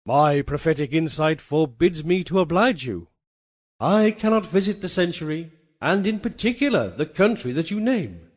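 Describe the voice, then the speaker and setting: Man voicing a lofty, animated winged horse Pegasus. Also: a little high. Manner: lofty, formal, indignant diction. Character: Pegasus, proud fastidious winged horse of the muses. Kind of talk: dramatised storybook reading.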